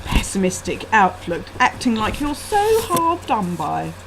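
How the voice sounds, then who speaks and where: A woman's voice: mid-range voice, very animated, a little slow, creaky. A woman voicing a dismissive, mocking female housemate in a short story, on a noisy laptop microphone. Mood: critical, needling.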